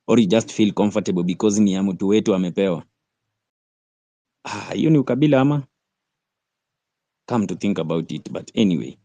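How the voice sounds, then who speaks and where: A man hosting an online discussion, mixing Swahili into his English and asking quick questions. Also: fairly low pitch, mellow, no echo, muffled recording. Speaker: host of an online audio discussion. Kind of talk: casual discussion.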